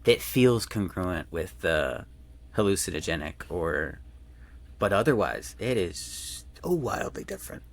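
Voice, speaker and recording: A man's voice: low voice, big pitch swings, unhurried. A man in a casual podcast chat, hesitantly searching for his words as he talks. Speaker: podcast conversation partner.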